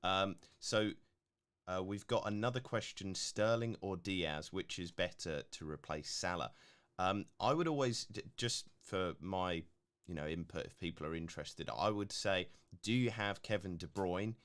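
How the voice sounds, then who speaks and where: Male co-host, low pitch, a bit gruff, laid-back question reader. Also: unhurried. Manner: relaxed, filler-heavy, laughs easily. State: slightly gruff voice. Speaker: co-host reading viewer questions. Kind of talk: casual live stream chat.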